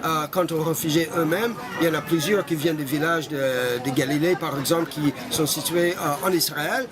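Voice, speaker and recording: A man's voice: fairly high pitch, brisk. Hesitant older Canadian-born man outdoors. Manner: hesitant, many fillers and restarts. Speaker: interviewee, association founder. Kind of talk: street interview. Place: outdoors in a busy street. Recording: loud street crowd behind. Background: crowd noise.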